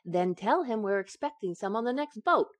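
Actress as a ditzy wheedling housewife from an old radio comedy, bouncy pitch, dry close sound. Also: female voice, animated, normal speed, dry recording, lightly acted. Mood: innocently evasive. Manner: breezy, coaxing, innocent comic delivery. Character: scatterbrained, wheedling young wife in a domestic sitcom. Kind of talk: old-time radio sitcom acting.

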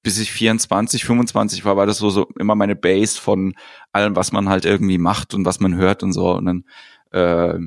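A man with a lowish voice tells stories in an unhurried way, with dry humor. The sound is dry and close to the mic, with no room echo. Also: gravelly. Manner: relaxed storytelling, dry humor. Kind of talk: casual podcast chat.

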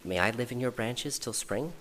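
A man's voice: mid-range voice, animated, moderate pace, a bit bright, natural voice. A small, lonely bird with a broken wing pleads politely and hopefully for a place to stay, voiced by a man reading a story aloud. The voice is lifted, and the room answers with a long hall echo and a faint hum of noise. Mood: pleading.

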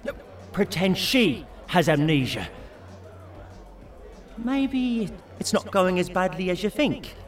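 A man's voice: sits high, very animated, slow pace, distant mic, soft background music, a faint crowd, full character voice. An actor playing the mouthy, berating, panicky inner voice of an awkward British man in an audio drama. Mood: frantic. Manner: sweary, berating.

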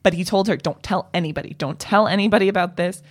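A woman co-hosting a comedy podcast, chatting casually with a somewhat low voice and rambling through many fillers and restarts. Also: fast. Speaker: podcast co-host.

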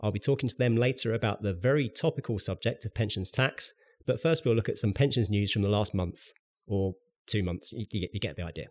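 A man's voice: on the low side, brisk. A man hosting a podcast, reading a scripted pensions news update with dry, wry asides, sounding a little bunged up from a cold. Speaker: podcast host.

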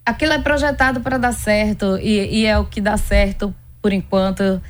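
A woman's voice: mid-range voice, fairly even intonation, moderate pace. A woman doctor from Pernambuco with a Northeastern Brazilian accent, explaining things conversationally with everyday examples as an interview guest.

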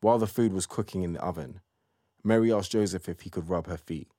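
A man narrating an audio play in a measured, scripted storytelling style. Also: low voice, dark tone, no room sound, studio quality.

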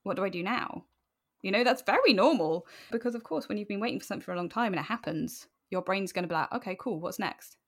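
A woman's voice: medium pitch, expressive, fast talker. A woman speaking candidly and informally as an interview guest, animatedly telling personal stories. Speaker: podcast guest.

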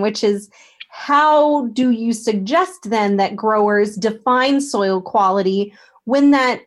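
A woman hosting an interview, asking questions in a deliberate way. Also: a little high. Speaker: host. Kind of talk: interview moderation.

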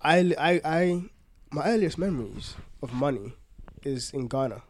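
A man's voice: on the high side, expressive, a little slow. A man co-hosting a podcast, talking informally with plenty of banter. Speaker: podcast co-host. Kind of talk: casual discussion.

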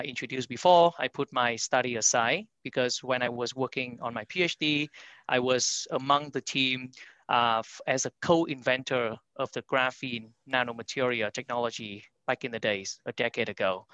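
A man's voice: medium pitch, pushed and bright, clear, resonant tone, dry recording. Man from Vietnam, dull dry audio. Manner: frequent fillers, non-native grammar. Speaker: company founder and CEO. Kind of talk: podcast interview.

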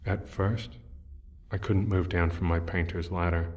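A man playing a weary, bitter old painter in an audio drama, speaking in a grave, deliberate and brooding way. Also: deep voice, little pitch movement, a little mellow. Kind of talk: audio drama narration and dialogue.

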